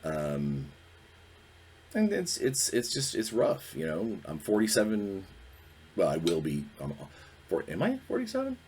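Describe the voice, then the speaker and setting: A man in his forties with a very low, deep voice, talking on his own as a podcast host. He speaks candidly and reflectively, pausing and restarting as he works through his thoughts, with a faint hum of noise behind him. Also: huge pitch swings, darkish tone. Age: 40s. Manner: earnest, reflective, halting. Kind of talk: candid solo talk.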